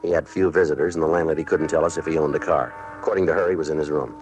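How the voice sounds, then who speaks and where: Male police detective, American, very low voice, quick clipped delivery, deadpan, vintage radio drama with faint music. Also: low-quality recording. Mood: matter-of-fact. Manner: clipped, deadpan, matter-of-fact. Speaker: lead actor and narrator. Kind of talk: 1950s radio crime drama acting and narration.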